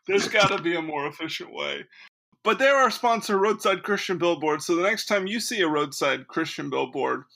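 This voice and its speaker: A man's voice: high voice, animated, normal speed, vocal fry, sharp tone. A man hosting a podcast in casual comedic chat, with a dry, mock-earnest manner. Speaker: podcast host.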